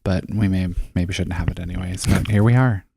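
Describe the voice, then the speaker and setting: Deadpan low-voiced young man. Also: brisk, breathy edge, no room sound. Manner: dry, deadpan, sarcastic. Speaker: podcast co-host. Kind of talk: casual chat.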